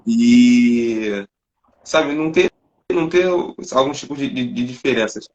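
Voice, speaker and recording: Man, Brazilian, host, mid pitch, reflective, slightly dull sound. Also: takes it slowly, darkish tone. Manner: conversational, reflective. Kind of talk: interview podcast.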